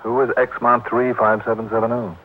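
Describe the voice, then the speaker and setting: An American actor playing a wry, shrewd, breezy insurance investigator in a 1950s radio drama. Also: male voice, medium pitch, flat delivery, fairly quick, phone-quality sound, lightly acted. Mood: breezy, teasing. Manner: breezy, hard-boiled, terse. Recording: old band-limited radio broadcast recording.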